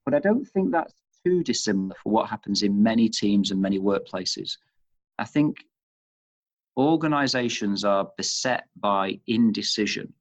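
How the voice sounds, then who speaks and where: A man from Manchester with a Northern English accent, answering interview questions in a thoughtful, halting way, restarting phrases as he goes. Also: lowish voice, lively intonation. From Manchester, England. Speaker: interview guest, chief executive of leadership institute. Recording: dull, muffled lo-fi audio.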